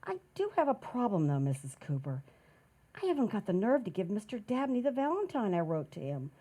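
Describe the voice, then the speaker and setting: A woman's voice: medium pitch, big pitch swings, brisk, mellow. A woman playing a bashful, sentimental household maid in 1940s radio comedy, hesitant and dreamy in manner. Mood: nervous, eager.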